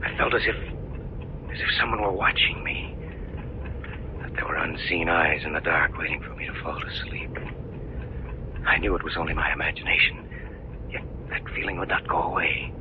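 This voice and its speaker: An actor playing an uneasy, haunted man narrating an old-time radio mystery in a brooding, hesitant, deliberate way. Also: low voice, close to an everyday voice. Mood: brooding, eerie. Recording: noisy muffled old radio recording.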